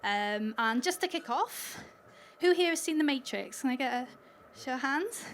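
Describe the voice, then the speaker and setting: A woman's voice: sits high, animated, moderate pace, fairly bright tone. A Welsh woman speaking at a conference, chatty and upbeat, laughing lightly between points. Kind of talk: conference talk. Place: conference hall stage.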